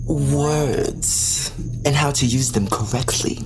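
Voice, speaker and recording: Woman playing a low-voiced, challenging sci-fi trainer. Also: takes it slowly, music in the background. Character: cool, cryptic female word-warrior trainer on Pluto. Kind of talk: sci-fi audio drama acting.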